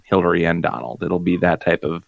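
A man co-hosting a casual podcast, talking in a halting, wry, rambling way. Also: sits low, vocal fry, no room sound, highs cut off. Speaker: podcast co-host. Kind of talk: casual chat.

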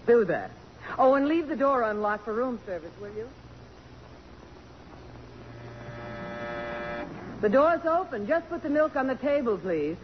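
An actress playing a poised, sentimental but strong-willed middle-aged congresswoman, with a crisp, commanding and emotional delivery in 1950s radio drama style. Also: on the high side, sing-song, a little fast. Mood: defiant, wry. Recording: dull, noisy old radio broadcast.

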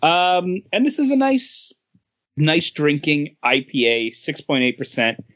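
Higher-pitched man in casual chat. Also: very animated, measured pace, a bit bright, muffled recording. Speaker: podcast co-host.

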